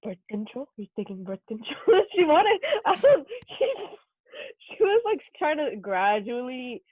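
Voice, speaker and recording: Young woman on a podcast, kinda high voice, tinny like over a phone, blunt and jokey. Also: sing-song, measured pace, no echo. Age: 20s. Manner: blunt, joking, swears casually. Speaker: podcast guest. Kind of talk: casual chat.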